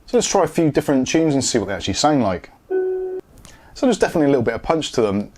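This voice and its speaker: A man's voice: a little high, wildly swooping pitch, fast talker, darkish tone, husky. A man explains a product in a plain, matter-of-fact way, as in a casual video review. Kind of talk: casual tech review narration. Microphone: lapel mic.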